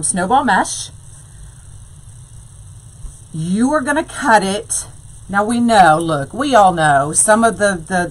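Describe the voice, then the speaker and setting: A woman's voice: mid-range voice, rough recording. A woman, chatting through a live wreath-making demonstration and answering viewers as she works. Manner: chatty, says "y'all", answers live chat. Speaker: wreath-making tutorial host. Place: craft room full of ribbon shelves.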